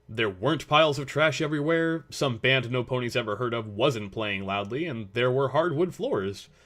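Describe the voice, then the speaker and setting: A man's voice: medium pitch, animated, normal speed, a bit bright. A man reading scripted story narration as the narrator of a fan fiction audio drama.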